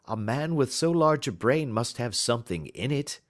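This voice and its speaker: Audiobook detective performed by a male reader: self-assured, precise, teasing, pompous, with very animated intonation. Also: mid-range voice, moderate pace, natural voice. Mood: triumphant, explaining. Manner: crisp, lecturing, pompous. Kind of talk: audiobook character dialogue.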